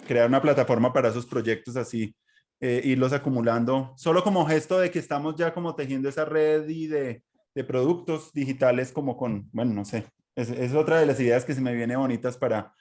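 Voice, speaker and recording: A man's voice: medium pitch, a little fast, a little mellow. Colombian man, casual podcast host thinking aloud. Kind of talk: casual conversational interview. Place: remote online call.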